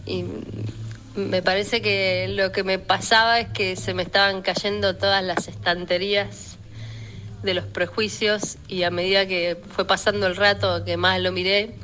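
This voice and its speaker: A woman with an Argentine accent telling a personal anecdote in a reflective, halting way, as in a voice message. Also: medium pitch, sharp tone, a little hiss or hum, rough recording.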